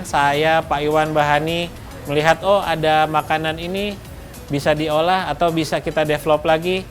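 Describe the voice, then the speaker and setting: A man with a fairly high voice talks as a business owner in an interview. He explains in a measured, halting way, with music clearly playing behind him. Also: a bit bright. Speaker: restaurant co-owner being interviewed.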